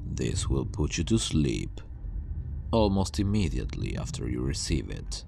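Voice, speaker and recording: A man playing a calm, self-assured superhuman villain scientist who disdains humanity, speaking in a hushed, grandiose, deliberate way in an ASMR roleplay. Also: a bass voice, vocal fry, a little hiss or hum, full character voice. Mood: grandiose, solemn. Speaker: ASMR voice actor.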